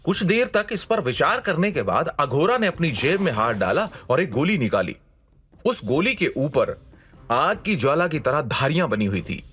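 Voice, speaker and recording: A man's voice: a little high, very animated, moderate pace, fairly bright tone. A man narrating a scripted fantasy audio story in a dramatic storytelling style. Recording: music behind the voice. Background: background music.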